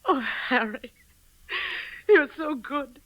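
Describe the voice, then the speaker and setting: An American actress playing a frightened, secretive wife and mother, halting and anxious in old-time radio melodrama style. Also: high voice, animated. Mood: flustered, frightened. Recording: muffled vintage radio recording.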